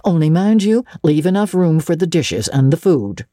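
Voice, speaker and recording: A woman's voice: deep voice, big pitch swings, moderate pace, dark tone, dry recording, studio quality, pitched above the performer's own voice. A woman reading aloud and voicing a stern, curt older spinster woman. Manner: curt, sniffing, grim.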